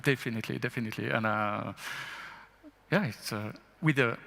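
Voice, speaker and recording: Man, finance guest at a live panel, lively and rhetorical, medium pitch, long hall reverb. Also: normal speed, gravelly. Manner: animated, fires rhetorical questions, laughs often. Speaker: bank investment chief, panel guest. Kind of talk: on-stage fireside chat interview. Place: event stage in a large hall.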